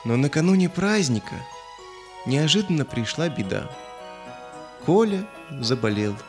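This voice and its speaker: A man narrating a scripted children's audio story in an expressive, dramatic manner. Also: on the high side, a little slow. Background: background music.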